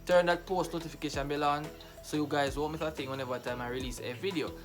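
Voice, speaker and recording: A man's voice: on the high side, steady tone, fast, music in the background. A man with a Jamaican accent hosting a casual reaction video, emphatic and exclamatory, often repeating his phrases.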